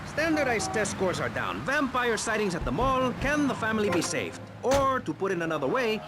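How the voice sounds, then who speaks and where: A man's voice: high voice, big pitch swings, moderate pace, loud music behind the voice. An actor playing a prissy, placating male host of a satirical talk radio show in a video game, hesitant and mock-earnest. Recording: loud street and car noise behind.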